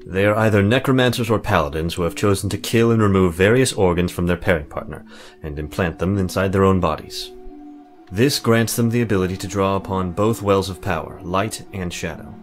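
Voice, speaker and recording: A man performs an earnest, learned mage for a fantasy game. His voice sits low, and he speaks in exact, formal, measured phrases. Soft music runs underneath. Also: lightly acted. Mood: measured, grave. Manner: formal, precise, measured wording. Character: formal, scholarly male mage, earnest and obsessive. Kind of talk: visual novel character acting.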